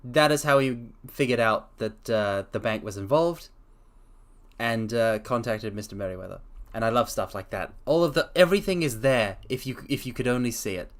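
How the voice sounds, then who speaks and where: A man reading a story aloud on a live stream, his voice at an ordinary middle pitch. He stumbles, restarts lines and breaks off for casual, self-conscious comments. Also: normal speed. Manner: hesitant, self-correcting, chatty asides.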